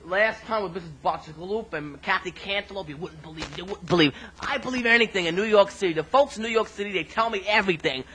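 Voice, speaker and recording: A man's voice: sits high, fast, hardly any pauses, bright, forceful tone, slight background noise, poor audio. A man playing a street interviewer, chatty and bemused, often stammering as he fumbles his questions.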